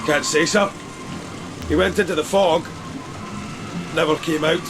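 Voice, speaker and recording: An actor playing a shaken, superstitious but stubborn sailor in a gothic audio drama, subdued and respectful. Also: male voice, steady tone, a little slow, over loud music. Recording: loud background rumble and storm ambience.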